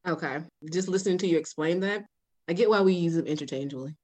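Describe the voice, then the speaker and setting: A young woman hosting a casual interview podcast, playful and quick to laugh. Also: on the low side, a little mellow. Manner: laughs often, playful asides. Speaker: podcast host.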